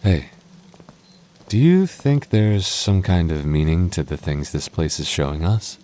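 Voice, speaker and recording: A man playing a nervous, affectionate young boyfriend in an intimate roleplay audio drama, speaking softly with hesitant stammers. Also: deep voice, animated, a little slow. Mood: pensive. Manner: hesitant, stammering, soft-spoken intimate delivery.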